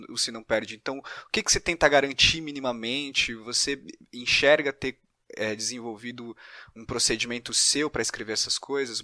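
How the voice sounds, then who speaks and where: Brazilian guy interviewing on a podcast, bright sharp voice, really dry sound, kind of tentative wording. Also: medium pitch, no room sound. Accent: Brazilian Portuguese. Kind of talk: thoughtful conversational interviewing.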